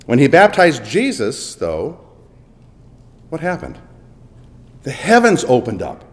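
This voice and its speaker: A man preaching a sermon with dramatic swings between booming emphasis and hushed asides, in a church. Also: wildly swooping pitch, slow pace. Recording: long echo of a large hall.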